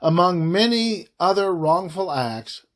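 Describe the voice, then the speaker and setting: A man reading a formal report aloud in deliberate phrases, with frequent breaks between them. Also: high voice, animated, a bit bright. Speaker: reader of a government report.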